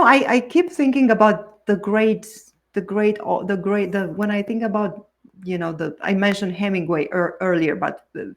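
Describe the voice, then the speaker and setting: A woman, being her language, answering podcast questions as a book coach in an earnest way with frequent restarts. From Romania. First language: Romanian. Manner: earnest, with frequent restarts and pitch jumps on key words.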